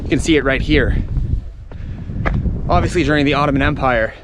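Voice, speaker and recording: A man's voice: mid-range voice, fairly quick, background noise. A man talking casually and informally as a travel vlogger, explaining things unscripted while walking around outdoors. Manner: chatty, informal, explaining as he explores.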